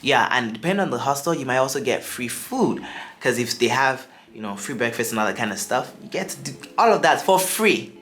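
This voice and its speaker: A young Nigerian-accented man, his accent drifting toward American now and then, talks to camera in a chatty, upbeat vlogging way. His voice sits at an ordinary middle pitch. Faint music runs quietly beneath him. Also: lively intonation, brisk. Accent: Nigerian, shifting toward American. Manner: chatty and upbeat, with asides and restarts. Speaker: travel vlogger. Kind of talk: casual advice to camera.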